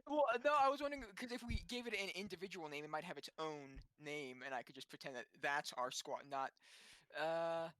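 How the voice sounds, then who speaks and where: A man playing in a tabletop roleplaying game, chatting casually and haltingly as he talks things through. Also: a little high, a bit bright, no echo.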